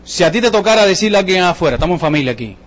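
High-pitched Cuban man pitching a business. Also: animated, rapid-fire. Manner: emphatic, colloquial, repetitive, full of rhetorical questions. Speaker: business seminar presenter, salesman. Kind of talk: motivational sales talk to an audience.

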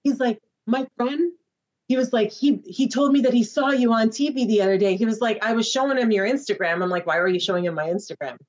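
A woman's voice: fairly high pitch, fast talker, lo-fi sound. A woman in her thirties, an actress guest chatting casually on a podcast and acting out other people's lines as she tells stories. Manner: animated storyteller acting out quotes.

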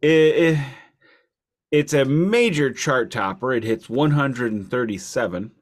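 A man's voice: medium pitch, lively intonation, unhurried. A man co-hosting a music podcast, talking in a casual, explanatory, storytelling way. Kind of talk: casual album discussion.

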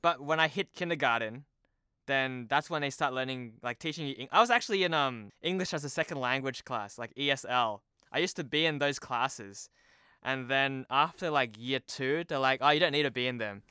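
A man's voice: mid-range voice, moderate pace, sharp tone, smooth, dry recording, clean studio sound. A young man chatting casually as a podcast guest, informal and self-deprecating. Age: young adult. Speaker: podcast guest, TV promo producer. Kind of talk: casual chat. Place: remote video call.

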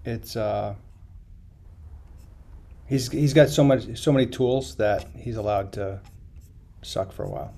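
A man's voice: mid-range voice, unhurried, pauses often, dark tone. A man co-hosting a casual sports podcast, laid-back and hesitant with fillers. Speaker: podcast co-host.

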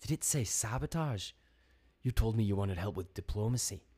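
A man's voice: lowish voice, animated, normal speed, warm tone, breathy edge, voice pushed up. A man voicing a blunt, irreverent and defiant woman in a science fiction story. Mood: accusing.

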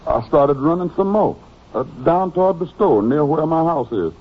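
An actor playing a frightened, weary Southern farmhand suspect in a radio drama, deferential, halting and pleading. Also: male voice, fairly high pitch, expressive, husky. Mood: anxious, insistent. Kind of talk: old-time radio drama acting. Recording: muffled old radio recording.

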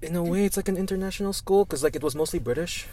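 A man's voice: sits high, very fast, warm tone. A young man with a Filipino accent, a criminology student chatting casually, rambling with lots of fillers and restarts. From Philippines. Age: young adult. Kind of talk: casual chat.

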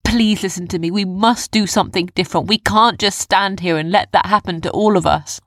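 A woman's voice: medium pitch, fairly quick, no echo, clean studio sound, lightly acted. A woman voicing a worried male furry creature in a children's story, earnest and pleading as he urges caution.

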